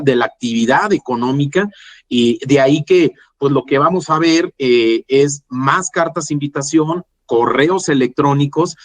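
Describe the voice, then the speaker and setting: A man with a Mexican accent giving a professional webinar explanation, often adding tag questions to check agreement. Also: on the high side. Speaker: webinar panelist, tax litigation specialist.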